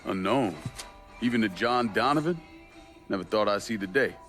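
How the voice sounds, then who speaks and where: A grim, resolute young American man back from war in a game cutscene. His voice sits low and he speaks bluntly, like someone set on revenge. Music plays clearly underneath. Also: animated, normal speed, fairly bright tone. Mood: gruff, suspicious. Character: vengeful young Vietnam veteran, male, grim and determined. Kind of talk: video game cutscene acting. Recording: music behind the voice.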